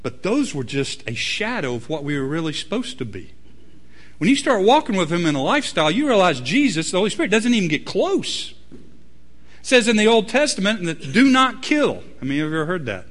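Animated preaching man. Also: brisk. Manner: animated preaching, swings from loud emphatic peaks to low quiet asides. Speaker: pastor. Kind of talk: sermon.

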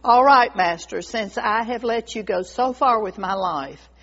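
Storybook bamboo tree woman performer: devoted, proud, earnest pleading, highish pitch, slightly muffled lo-fi sound. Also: a little slow, a bit bright, long reverb tail. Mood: resigned. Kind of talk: storybook character voice. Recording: dull lo-fi recording.

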